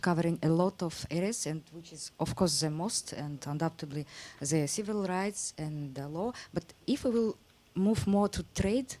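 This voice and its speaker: A woman's voice: fairly low pitch, dark tone. A woman from Kazakhstan asking a question from the floor at a press event, hesitant and searching for words. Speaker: audience member asking a question.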